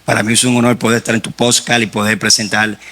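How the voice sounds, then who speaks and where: A man from Puerto Rico with a Puerto Rican accent, a guest author giving his personal faith testimony in an earnest, halting way. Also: lowish voice, level tone, pushed and bright.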